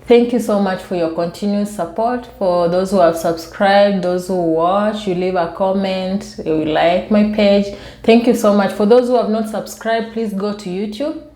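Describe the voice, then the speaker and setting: A soft-spoken woman with a Kenyan accent chats casually to her viewers, telling personal stories in a self-amused way. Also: medium pitch, roomy sound. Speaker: YouTube vlogger. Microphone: newly bought external microphone.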